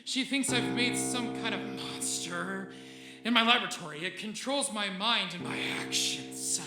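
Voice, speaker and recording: An actor playing an unravelling, paranoid scientist in an old-time radio drama, speaking haltingly and repeating himself. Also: male voice, sits high. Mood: uneasy, persuasive. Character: unravelling paranoid scientist doctor under a brain's control. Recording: echoey stage, loud piano music behind.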